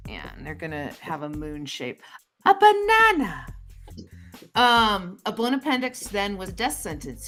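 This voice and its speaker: A woman's voice: mid-range voice, fairly bright tone. A woman co-hosting a casual chat show, rambling and thinking aloud with fillers while she draws. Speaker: co-host drawing a comic.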